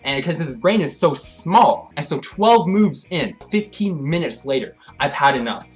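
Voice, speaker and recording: A man's voice: a little slow, soft background music. Young man telling a mock-dramatic comedy story. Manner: mock-dramatic, swings between hyped rants and low deadpan. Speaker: storytelling vlogger.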